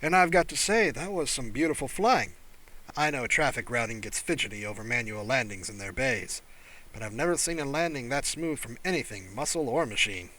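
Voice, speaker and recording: A man voicing a small, furry male alien pilot, wry and curious, with a baritone voice, in an audiobook. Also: expressive, fairly quick, bright, forceful tone, low-quality recording. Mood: wry, friendly. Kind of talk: audiobook character voice.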